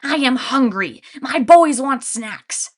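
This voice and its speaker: A woman voicing an enormous, ugly, hungry female Cyclops who bellows slowly. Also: high-pitched, animated, voice pushed up, heavily acted. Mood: greedy. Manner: bellowing, slow and brutish.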